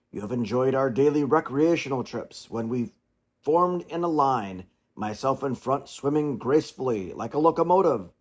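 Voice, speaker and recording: A storybook reader voicing a pompous, long-winded father swan who lectures his children. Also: medium pitch.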